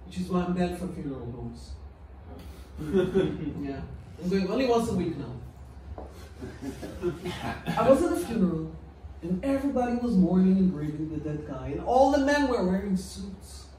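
A woman from Israel with an Israeli accent and a low, deep voice, performing a stand-up set with deadpan, self-mocking delivery on a small club stage into a handheld mic. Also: normal speed, long pauses, a little hiss or hum. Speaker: stand-up comedian. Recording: echoey club, heard from a distance.

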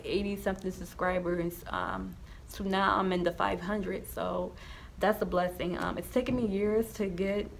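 A woman's voice: mid-range voice, gravelly, slight background noise. A young woman with a slight lisp talks casually to the camera in a small room, rambling with many ums and restarts. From New Jersey, USA. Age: young adult. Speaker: YouTuber sharing her testimony. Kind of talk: casual vlog talk to camera.

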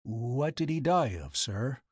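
A man's voice: mid-range voice, animated, slow pace, husky, dry recording, clean studio sound. Man doing a hesitant, deferential big policeman. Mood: respectful. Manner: hesitant, hushed, respectful. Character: genial giant policeman, nervous and deferential. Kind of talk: audiobook character voice.

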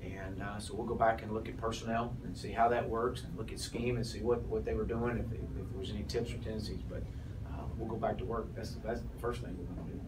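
A man's voice: fairly low pitch, steady tone, quick pace. A man in his forties, a head football coach, answering questions at a postgame press conference with many restarts and fillers. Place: press room, in front of a sponsor backdrop. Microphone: cluster of press mics on a table, heard from off. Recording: distant voice, noisy room.

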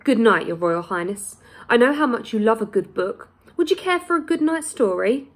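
A woman voicing a sly, menacing male royal adviser from a storybook, smooth-mannered, condescending and falsely courteous. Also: on the high side, animated, moderate pace. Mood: mock-polite.

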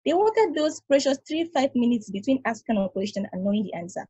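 A woman reading the part of a patient, knowing space probe in a play, measured and explanatory, over a video call. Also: a little high, very animated, warm tone, breathy edge, no echo. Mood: reflective, explanatory. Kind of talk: table read of a stage play. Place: home room, over a group video call.

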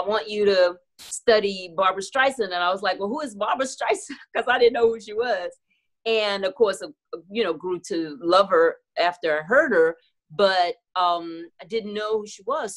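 Candid middle-aged woman on a video call. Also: medium pitch. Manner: candid, reflective, laughs easily. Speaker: interview guest, singer. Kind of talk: casual video-call interview. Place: home room with clothing rack. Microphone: video call webcam.

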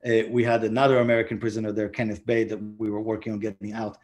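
A man's voice: on the low side, level tone, fast talker, a little mellow. A man in his forties with an Israeli accent, answering interview questions over a video call from home, with many fillers and restarts. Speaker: guest diplomat. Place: home room indoors.

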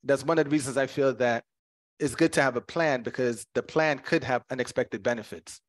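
American male contest speaker, medium pitch, easygoing conversational delivery over a video call. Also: brisk. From United States. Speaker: speech contest participant. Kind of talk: impromptu speech, conversational.

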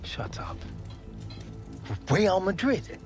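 A man's voice: high voice, wildly swooping pitch, extremely slow, over music, exaggerated voice. A man playing a keen eighteen-year-old footballer in a video game. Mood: amazed. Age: young adult. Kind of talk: video game cutscene acting.

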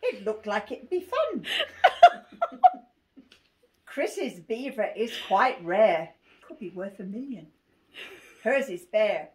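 A woman's voice: medium pitch, sing-song, slow, pauses often. An 80-year-old woman with an English accent, reading a rhyming picture book aloud and often giggling as she goes. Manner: giggly, often breaking into laughter while reading. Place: small cluttered living room. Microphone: phone camera a few feet away. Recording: faint noise, clicks, home phone video.

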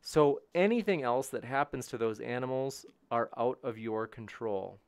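A man with a smooth, resonant voice moderates an online debate, reading out viewer questions in a brisk, businesslike way. The sound is dry and close to the microphone. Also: medium pitch, no room sound.

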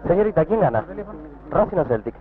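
A man from Argentina with a Rioplatense accent, doing quick-fire street interviews outdoors with a handheld mic. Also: a little high, very animated. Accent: Rioplatense Spanish. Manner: brisk, quick-fire questioning. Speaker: street reporter. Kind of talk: vox pop interviews. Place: city streets and harbor, outdoors. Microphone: handheld corded mic. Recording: tinny 1960s archival newsreel sound with street noise.

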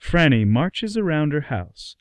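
A man giving a dramatic scripted narration with deliberate, suspenseful phrasing. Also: on the high side, big pitch swings, heavy background noise.

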